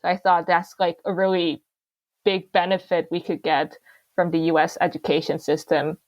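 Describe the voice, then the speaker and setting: A woman's voice: on the low side, level tone, moderate pace, darkish tone. A young woman from Korea, a student guest explaining things informally. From South Korea. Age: young adult.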